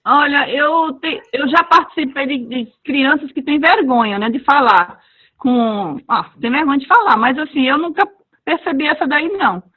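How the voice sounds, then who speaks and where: High-pitched woman on a muffled call. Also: animated, normal speed. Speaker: heritage language storyteller. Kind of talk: casual webinar talk. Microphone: video call. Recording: dull lo-fi video call audio.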